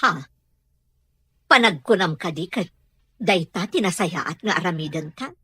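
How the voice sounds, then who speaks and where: A woman's voice: on the low side, animated, unhurried, a bit bright, breathy edge, no room sound, clean studio sound, heavily acted. A woman acting a worried, scolding middle-aged mother in an Ilocano radio drama. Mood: worried, pleading.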